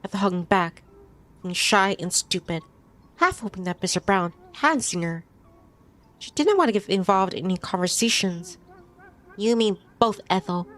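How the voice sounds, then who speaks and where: A woman streamer reading a visual novel aloud in expressive storytelling narration. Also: mid-range voice, moderate pace.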